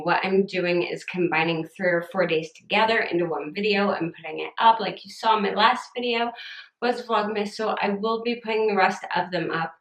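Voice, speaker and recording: A young woman vlogging casually from home, chatty and upbeat, her voice a little scratchy and rough. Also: on the low side, echoey room. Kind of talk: casual haul vlog chat.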